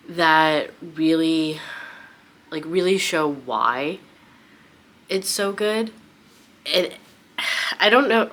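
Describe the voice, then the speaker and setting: A woman's voice: deep voice, a bit bright. A young adult woman giving a casual, unscripted book vlog, rambling informally and often saying "like". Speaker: book vlogger.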